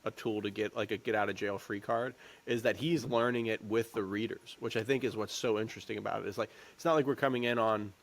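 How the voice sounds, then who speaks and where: A man's voice: lowish voice, steady tone, quick pace, few pauses. A man co-hosting a podcast, talking in a casual, rambling, informal way. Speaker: podcast co-host. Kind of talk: casual discussion.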